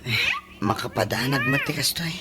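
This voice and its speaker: A man's voice: mid-range voice, huge pitch swings, moderate pace, background noise. A man playing a sheepish, curious young employee in an Ilocano radio comedy, with an Ilocano accent. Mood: anxious, curious.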